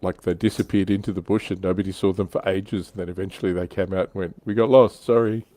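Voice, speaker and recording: A man with a very low voice hosts a relaxed hobby livestream. He sounds close and dry, and talks in a chatty, joking, informal way, pausing to read comments from viewers. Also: no room sound. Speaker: livestream host. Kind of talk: casual hobby discussion, reading out viewer comments.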